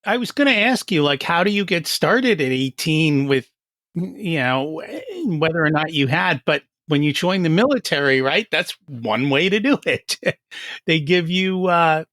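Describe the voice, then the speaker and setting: A man's voice: a little high, expressive, a little slow, a bit bright, no room sound, clean studio sound. A man hosting a podcast, asking questions in a chatty way and laughing between them. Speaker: podcast host. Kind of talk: casual podcast interview.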